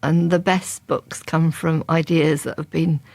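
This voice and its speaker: A woman's voice: low-pitched, steady tone, a little slow, creaky, a little mellow, no echo. An older woman, a crime novelist, answering interview questions in a chatty, thoughtful way with frequent restarts.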